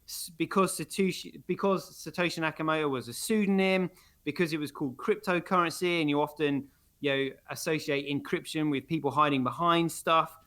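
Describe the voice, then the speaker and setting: A man's voice: on the high side, brisk, bright, forceful tone. A man co-hosting a livestream, rambling with many fillers and restarts in casual chat. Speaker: livestream co-host.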